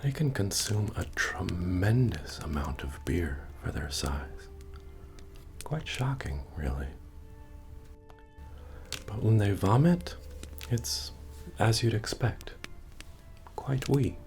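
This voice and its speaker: A man playing a friendly, wry medieval fantasy innkeeper in a soft-spoken ASMR roleplay, with a gravelly murmur, folksy manner and gentle joking asides. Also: sing-song, slow, pauses often, heavily acted. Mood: teasing, wry. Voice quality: deep, gravelly, breathy murmur. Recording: soft music playing behind the voice. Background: bard-style music.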